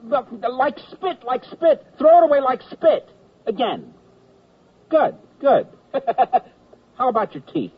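A man acting as a jovial, brusque Army dental captain in a radio comedy, husky and emphatic, barking short orders. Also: extremely high pitch, very animated, slow pace, full character voice. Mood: emphatic, bossy. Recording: muffled 1970s radio recording.

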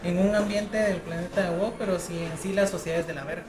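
A woman's voice: lowish voice, fairly even intonation, quick pace, roomy sound, crowd noise. A woman with a Guatemalan accent chatting casually with friends, playful and freely sweary as they play a question game. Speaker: podcast participant playing a question game. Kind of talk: casual chat among friends.

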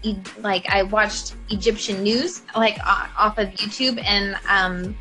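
A woman's voice: medium pitch, fairly even intonation, a little slow, sharp tone, low-quality recording. Young woman, chatty guest, giggly, filler-heavy, faint music bed. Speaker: voice actor guest. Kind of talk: casual interview chat.

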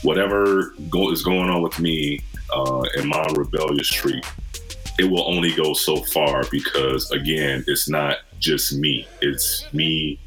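A man's voice: a bass voice, fairly even intonation, a little slow, a bit bright, faint music behind, low-quality recording. A man in his forties from Michigan, a podcast guest telling his story in an earnest, reflective way. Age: 40s. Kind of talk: casual conversation.

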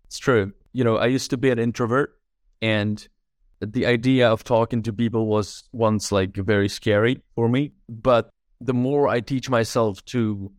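A man's voice: mid-range voice, measured pace, no echo, clean studio sound. A young Czech man in his twenties, a filmmaker guest chatting casually and thoughtfully on a podcast, often adding "like" and "right?". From Czech Republic. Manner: relaxed, thoughtful, many fillers like "like" and "right?". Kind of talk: casual interview chat.

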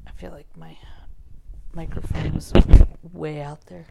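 A middle-aged woman co-hosting a casual podcast chat, animated and loud, laughing often. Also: low-pitched, unhurried, gravelly, whispered, low-quality recording. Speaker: podcast co-host.

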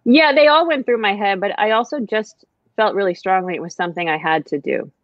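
A woman's voice: mid-range voice, fairly quick, no echo, dull audio. An American woman, a writer, talking reflectively as a guest in a casual conversation, with many fillers and restarts. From United States.

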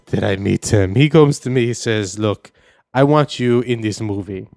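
A man's voice: on the low side, normal speed, gravelly, darkish tone, no room sound. A man playing a washed-up, self-deluded foreign actor with a foreign accent, pleading and self-important in an improvised comedy interview. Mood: proud, wounded. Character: washed-up, self-deluded male foreign actor nursing faded showbiz dreams. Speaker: comedy podcast guest.

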